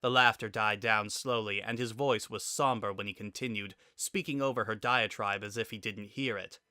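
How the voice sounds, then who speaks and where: A man narrating a scripted story in a dramatic, expressive and deliberate way. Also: fairly low pitch, dry recording, studio quality.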